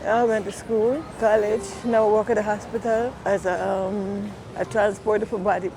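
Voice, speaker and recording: A woman's voice: medium pitch, level tone, moderate pace. An older woman with a Guyanese accent, speaking matter-of-factly in a casual outdoor chat. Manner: matter-of-fact. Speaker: interviewee, morgue attendant. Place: outdoors on a street. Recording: noisy outdoor street with traffic.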